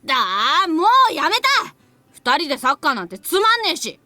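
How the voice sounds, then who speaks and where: A woman voicing a hot-tempered young boy in an anime-style game, with rough, blunt speech and a sore loser's temper. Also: sits high, sing-song, racing, bright, forceful tone, no echo, heavily acted. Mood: irritated. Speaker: visual novel voice actor.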